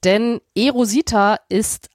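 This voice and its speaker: A woman co-hosting a podcast in casual chat, sounding a bit off from a cold but enthusiastic and quick to laugh. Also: medium pitch, darkish tone, dry recording, studio quality. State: has a cold. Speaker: podcast co-host, astrophysicist.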